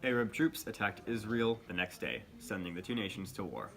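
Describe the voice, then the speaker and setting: A man's voice: on the low side, sing-song, a little fast, vocal fry, fairly bright tone, heavily acted. A man playing a news co-anchor in a scripted mock newscast. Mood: formal, newsy. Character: flustered male news co-anchor on live mock broadcast. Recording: faint background noise.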